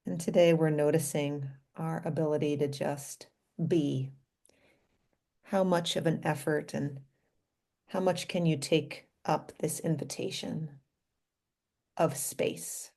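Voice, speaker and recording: A woman leading a guided meditation in a gentle, inviting manner, speaking in short phrases with pauses between them. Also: sits low, steady tone, creaky.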